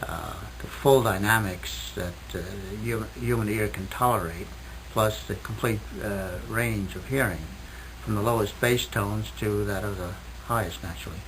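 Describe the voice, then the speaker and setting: A man giving a technical explanation as a radio interview guest, speaking in a halting, hesitant way with precise wording. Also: fairly low pitch, fairly bright tone. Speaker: interview guest, loudspeaker inventor. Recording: noisy old 1960s radio recording.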